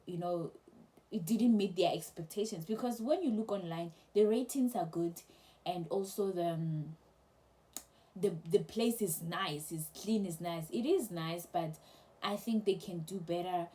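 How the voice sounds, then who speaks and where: A young woman casually telling a travel story to camera, chatty and animated, with many restarts. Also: on the low side, measured pace. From South Africa. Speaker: travel vlogger.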